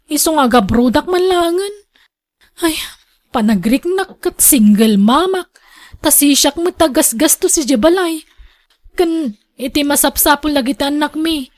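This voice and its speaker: A woman playing a worried, complaining wife and mother in an Ilocano radio drama, with an Ilocano accent. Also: high voice. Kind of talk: Filipino radio drama acting.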